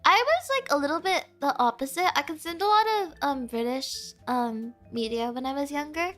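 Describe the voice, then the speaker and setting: A young woman with a high, bright voice and a breezy Valley girl accent, her first language Spanish. She chats with her stream in a bubbly, giggly way, leaning hard on "like". Soft music plays faintly behind her. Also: animated, moderate pace. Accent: American Valley girl. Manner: chatty, filler-heavy "like", giggly, bubbly. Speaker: streamer reading chat. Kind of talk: casual stream chat.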